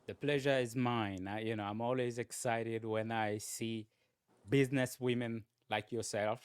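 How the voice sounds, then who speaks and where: A man hosting an interview show in a conversational way, often halting and repeating his phrases. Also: medium pitch, fairly even intonation, smooth, no echo, studio quality. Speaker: talk show host interviewing a guest.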